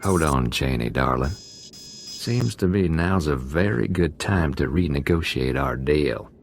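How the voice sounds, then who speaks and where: Casting: scheming gang boss for a game. Rock-bottom pitch, gravelly, animated delivery, wry and mercenary. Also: male voice, unhurried, slight background noise, full character voice. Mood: sly, threatening. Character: gruff scheming male inmate gang boss, breezy and mercenary. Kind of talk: video game voice acting.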